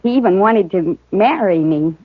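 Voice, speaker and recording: A shy, earnest frontier girl of about sixteen, voiced by an actress, with a soft country drawl. The sound is old radio: thin and phone-like, dry and close. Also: mid-range voice, lively intonation, normal speed, dry recording, close to an everyday voice. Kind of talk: 1950s radio acting. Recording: narrow-band vintage radio broadcast.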